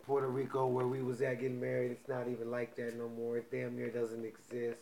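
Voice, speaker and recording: A man hosting a podcast in loose, profane casual chat, getting loud and animated when excited. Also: medium pitch, brisk, vocal fry, a little hiss or hum. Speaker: podcast host.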